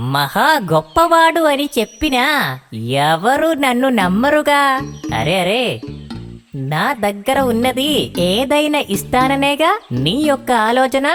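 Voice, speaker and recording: Slow, scheming cartoon fox, high-pitched. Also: female voice. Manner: boastful, scheming, muttering to itself, drawn-out. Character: cunning, boastful honey-stealing fox of a children's fable. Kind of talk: animated children's story acting.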